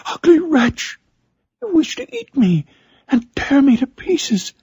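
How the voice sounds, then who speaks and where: A man voicing a frightened but defiant small boy in a storybook reading, crying out in a strained, shrill voice. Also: wildly swooping pitch, slow, a little mellow, no echo, heavily acted. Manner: crying out, pleading and insulting. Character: terrified, defiant little boy, shrill and indignant.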